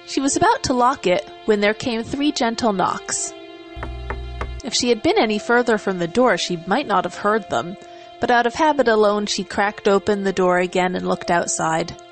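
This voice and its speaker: A woman's voice: medium pitch, normal speed. Woman narrating a story over music. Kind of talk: dramatic scripted story narration.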